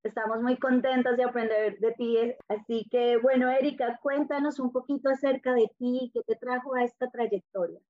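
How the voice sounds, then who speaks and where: Female interview host, higher-pitched voice, conversational delivery, thin phone-line audio. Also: steady tone, moderate pace, few pauses.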